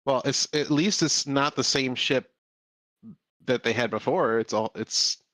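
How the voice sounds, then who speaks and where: An eager man chatting in a voice call during a movie, insisting on his point and often repeating himself. His voice is mid-pitched, and the recording is very dry and close. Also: a little slow, fairly bright tone, dry recording. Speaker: voice chat guest. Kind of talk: casual chat over a movie.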